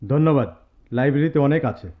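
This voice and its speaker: An actor playing an aloof, self-possessed young male student in a Bengali radio drama, measured and dry. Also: a little high, natural voice. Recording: tinny phone-like audio, clear vehicle noise.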